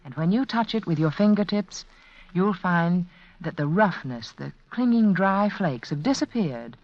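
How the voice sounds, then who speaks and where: A woman delivers a scripted 1940s radio advert as hostess. She is earnest and instructive, with expressive rises and falls, on dull lo-fi vintage audio. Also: fairly low pitch, unhurried, dry recording. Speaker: sponsor's hostess.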